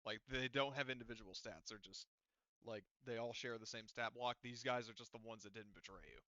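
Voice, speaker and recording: A man running a tabletop roleplaying session as game master, explaining things casually and haltingly. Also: mid-range voice, fairly even intonation, pushed and bright, dry recording, highs cut off.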